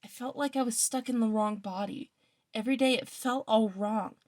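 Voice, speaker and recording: A woman's voice: on the high side, moderate pace, darkish tone, no room sound, studio quality, close to an everyday voice. A woman playing a troubled, fearful teenage student in an audio story, with a distressed, emotional delivery. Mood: anguished, hesitant. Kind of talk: dramatic fan fiction audio reading.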